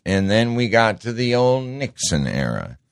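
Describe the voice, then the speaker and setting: A middle-aged man with a deep voice hosting a show in a casual monologue, rambling and interrupting himself, often repeating words. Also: big pitch swings, a little slow, gravelly, no room sound, clean studio sound. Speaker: show host.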